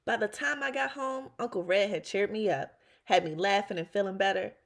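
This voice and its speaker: A woman reading a children's storybook aloud as first-person narration, expressively, with dramatic pauses and emphasis. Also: mid-range voice, vocal fry. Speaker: children's read-aloud host.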